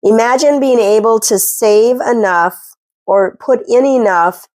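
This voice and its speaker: A woman's voice: a little high, a little slow, a little mellow, no room sound. Casting: woman vlogger with a head cold, chatty and earnest, close dry sound. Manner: chatty, earnest, self-deprecating. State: congested from a cold. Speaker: personal finance vlogger. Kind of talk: casual talking-head advice. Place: at home.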